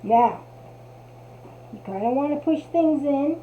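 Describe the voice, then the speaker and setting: A woman's voice: high-pitched, lively intonation, takes it slowly, slight background noise. A woman from New Jersey with a Jersey accent, casually demonstrating a craft and chatting to herself as she works. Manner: chatty, talks to herself while working. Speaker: craft tutorial host. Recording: tinny, phone-like audio.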